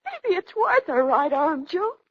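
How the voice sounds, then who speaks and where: An actress playing a worried, world-weary trucker's wife in 1940s radio melodrama, with an American accent. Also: female voice, extremely high pitch, big pitch swings, measured pace, full character voice. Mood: bitter, tearful. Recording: muffled 1940s radio broadcast.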